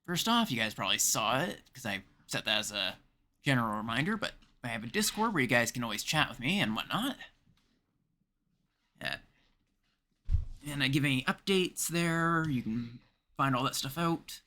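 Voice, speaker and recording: A man's voice: medium pitch, very animated, moderate pace, pauses often, bright, forceful tone, slight background noise. A man from Canada chatting casually as he livestreams a game.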